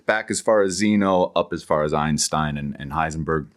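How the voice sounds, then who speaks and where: A middle-aged man, an academic author, explaining conversationally as an interview guest, haltingly repeating words as he thinks aloud. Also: rumbling low.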